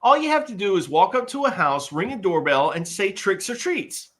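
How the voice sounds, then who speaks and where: A man's voice: sits high, big pitch swings, normal speed, a bit bright. A man voicing a bossy, scornful young cartoon girl in a scolding, blunt manner at a live table read.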